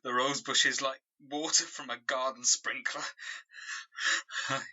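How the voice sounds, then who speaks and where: An actor playing a traumatised man in an audio drama, recounting his ordeal in a halting, haunted monologue. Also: medium pitch, fairly even intonation, pushed and bright, slightly rough, highs cut off, exaggerated voice. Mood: anguished, shaken. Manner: slow, halting, haunted delivery with repeated words. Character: traumatised man reliving a savage dog attack.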